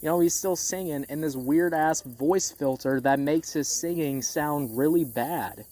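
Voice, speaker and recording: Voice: mid-range voice, a little slow, warm tone. A music critic giving a casual, opinionated album review, speaking bluntly and repeating phrases for emphasis. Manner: casual swearing.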